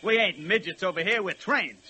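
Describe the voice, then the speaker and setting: Streetwise American locomotive: slangy, combative, high and forceful, vintage radio hiss. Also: male voice, normal speed, slightly rough, soft background music, heavily acted. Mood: scornful. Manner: slangy tough-guy talk, combative. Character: brash, streetwise male American express locomotive. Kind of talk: 1940s radio play acting. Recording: hissy 1940s radio recording.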